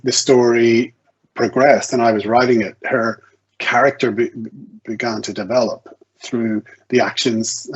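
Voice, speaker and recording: Husky man, muffled online call. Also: mid-range voice. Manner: hesitant, self-correcting, stammering restarts. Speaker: guest author. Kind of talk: conversational interview answers. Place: online video event.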